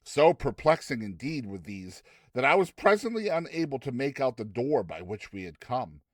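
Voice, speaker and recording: A man giving a dramatized audiobook narration, theatrical and expressive, with deliberate phrasing. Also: medium pitch, no echo. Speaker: narrator of short story.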